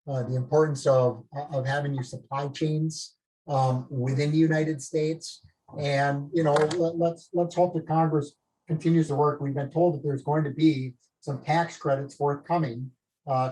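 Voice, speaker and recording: A man's voice: medium pitch, dark tone, breathy edge, rough recording. A man in his late fifties from northern Minnesota with a Minnesotan accent, talking about his career as a guest on a live video call, rambling and self-deprecating with many restarts. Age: late 50s. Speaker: featured guest. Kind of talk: conversational talk on a live call.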